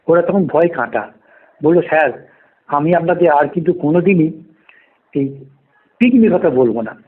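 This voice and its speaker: Husky high-voiced older man telling stories. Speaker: podcast guest, private tutor. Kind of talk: first-person oral ghost storytelling. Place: remote call to a podcast. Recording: dull, lo-fi phone call recording.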